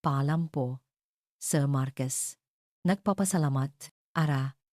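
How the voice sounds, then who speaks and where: Woman voicing a sad humble young maid, polite and sorry, low mellow voice, audio drama. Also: slow, no room sound, studio quality. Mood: sad, tender. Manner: polite, deferential, apologetic. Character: humble heartbroken young housemaid reading her farewell letter.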